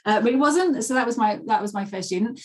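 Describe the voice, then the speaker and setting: A woman's voice: fairly high pitch, fast talker, slightly rough. A middle-aged woman who teaches pronunciation, chatting as a podcast guest with many restarts. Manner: chatty, frequent restarts and fillers. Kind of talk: conversational podcast interview.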